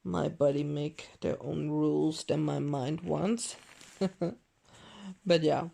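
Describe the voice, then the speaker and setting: Vlogger woman, gravelly fry, dry throat, meandering chatter, close handheld camera in a living room. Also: low-pitched, steady tone, warm tone. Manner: rambling, self-correcting, non-native phrasing; deliberately overdone mixed accent. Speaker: fashion vlogger. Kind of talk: casual unboxing haul chat.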